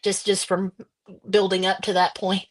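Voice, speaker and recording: Woman writer being interviewed, lots of ums, pretty flat tone. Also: mid-range voice, normal speed. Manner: hesitant, many ums. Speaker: guest author. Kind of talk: casual interview answers.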